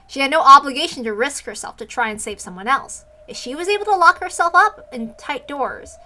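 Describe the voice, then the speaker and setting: A woman streamer reading a visual novel aloud as expressive story narration. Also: high voice, brisk, slight background noise.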